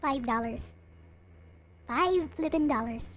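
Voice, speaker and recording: Female streamer, high voice, unhurried pace, casual chat with viewers. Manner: chatting casually to viewers, replying to comments. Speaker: livestream host. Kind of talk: casual livestream chat showing collectible figures.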